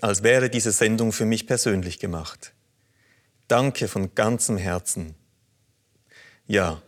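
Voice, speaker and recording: A man presenting a religious program, reading listener letters aloud in a measured, earnest way. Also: lowish voice. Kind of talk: calm scripted reading of letters.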